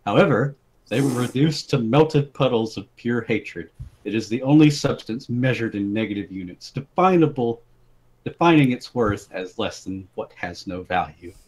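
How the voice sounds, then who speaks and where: Guy reading a silly story out loud, theatrical, normal male pitch. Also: lively intonation, moderate pace, slightly rough, rough recording. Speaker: reader of the story. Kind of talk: dramatic reading of absurd comic story.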